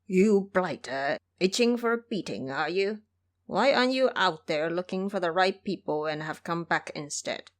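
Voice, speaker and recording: An anxious old country squire, put on by a woman, frets and scolds in an audio drama. The delivery is irritable, with wide swings of pitch. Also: animated, moderate pace, close to an everyday voice. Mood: scolding. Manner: scolding, fretful.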